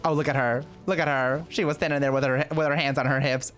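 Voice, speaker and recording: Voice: mid-range voice, steady tone, fast talker, a bit bright. Gushing, slangy game streamer. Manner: gushing, slangy, repeats phrases. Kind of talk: casual playthrough reaction commentary. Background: game music.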